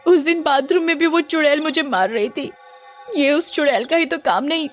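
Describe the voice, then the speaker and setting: A woman's voice: sits high, normal speed, soft background music, pitched above the performer's own voice, natural voice. A woman voicing a self-absorbed, easily frightened young wife in a dramatic, whining way for a cartoon story. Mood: dismayed.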